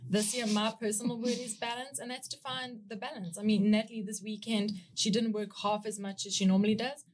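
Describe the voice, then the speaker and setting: A woman's voice: mid-range voice, flat delivery, fairly quick. A woman in her thirties with a South African accent, a guest talking business partnership in a casual podcast chat. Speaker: guest, brand strategist.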